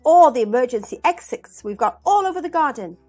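A woman's voice: high voice, sing-song, brisk, dark tone, soft background music. A woman voicing a loyal, alarmed ant captain in a storybook, earnest and emphatic. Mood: reassuring.

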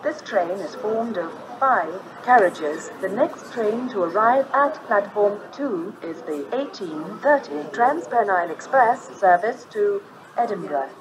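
Slow, echoey British female station announcer. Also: medium pitch, expressive, a crowd in the background. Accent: British English. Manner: measured, formal, pieced-together list phrasing. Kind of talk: automated train announcements, scripted.

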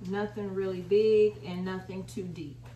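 A woman's voice: mid-range voice, steady tone, unhurried, warm tone, muffled recording. American woman in a roomy, distant recording. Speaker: home gardening video host. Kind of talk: casual how-to demonstration. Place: small indoor room at home. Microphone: camera a short distance away. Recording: roomy, distant mic, faint noise.

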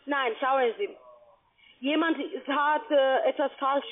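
A non-native German speaker, a woman with a foreign accent, giving a halting phone sales pitch in simple phrases with check-back questions. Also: steady tone. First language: not German. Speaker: telephone sales agent for an investment scheme. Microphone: telephone. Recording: tinny, narrow phone line.

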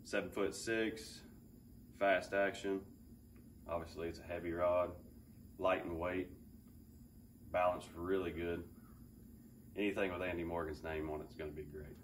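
A man in his thirties giving a relaxed, enthusiastic fishing gear review, thinking aloud with fillers in a big open garage. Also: sits low, a little slow, pauses often. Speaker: fishing channel host. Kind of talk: casual product review. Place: large open garage with a boat. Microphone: camera several feet away. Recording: distant mic, faint ambient hiss.

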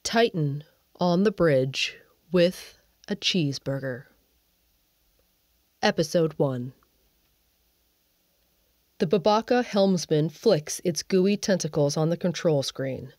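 Low-pitched woman narrating a wry story. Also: darkish tone, dry recording, clean studio sound. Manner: wry, conversational asides. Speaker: narrator and writer of a sci-fi audio series.

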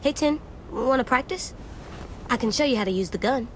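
A woman's voice: a little high, a little fast, slight background noise, close to an everyday voice, rough recording. Lady doing a solemn little boy in a video game, plain blunt talk, faint ambience behind. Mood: friendly, earnest. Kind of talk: dramatic video game voice acting.

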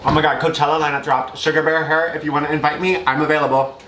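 A man's voice: on the high side, flat delivery, quick pace, a crowd in the background. A young man hosting a casual cooking vlog in his home kitchen, chatting playfully and laughing often. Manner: playful, giggly banter. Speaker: vlog host. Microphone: camera mic, medium distance. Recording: echoey kitchen with background music.

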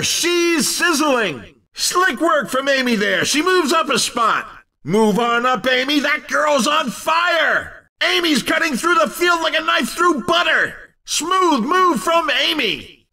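An actor playing an exuberant, cheeky male race commentator in a video game, calling the action in punchy, excited exclamations. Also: very high-pitched, recorded from a distance, exaggerated voice. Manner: punchy exclamations, hyped announcer delivery.

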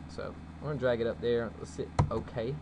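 A man giving a casual, folksy software tutorial as its host. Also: medium pitch, steady tone, normal speed. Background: background noise.

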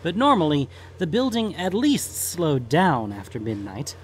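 A man's voice: mid-range voice, slight background noise. A man reading scripted fantasy fiction as the narrator of an audio story.

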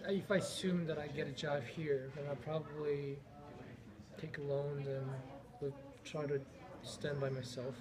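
A man's voice: on the high side, little pitch movement, a little slow, crowd noise. A twenty-year-old man giving short, plain interview answers.

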